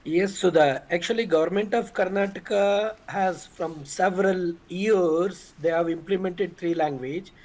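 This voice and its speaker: A man speaking as a panelist in a TV debate, measured and formal. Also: high voice, a little mellow, rough recording.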